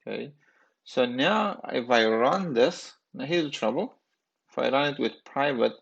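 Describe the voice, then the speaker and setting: Brief: man, 30s, gravelly lowish voice, halting instructor talking through code on a dull lo-fi recording. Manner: halting, thinking aloud with fillers. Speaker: programming instructor. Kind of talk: screencast coding lesson.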